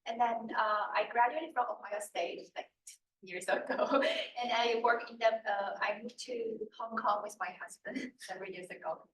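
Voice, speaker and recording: A woman's voice: a little high, moderate pace, fairly bright tone. A woman from Beijing introducing herself hesitantly to the judges. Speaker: student competitor. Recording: distant echoey room.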